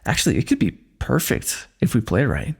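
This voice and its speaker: A man playing a weary, wry fantasy warrior in an ASMR roleplay, speaking in measured, formal phrases with dry teasing. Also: mid-range voice, wildly swooping pitch, creaky, darkish tone, husky, heavily acted. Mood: thoughtful, scheming. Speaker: ASMR voice actor. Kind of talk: ASMR fantasy roleplay, one-sided dialogue.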